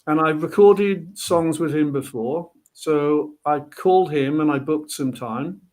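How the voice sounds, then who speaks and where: A man from Wales speaks as a guest musician on a podcast. His voice sits a little high, and he tells his story in a chatty, rambling way, restarting phrases often. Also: a little slow, a little mellow. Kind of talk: interview storytelling.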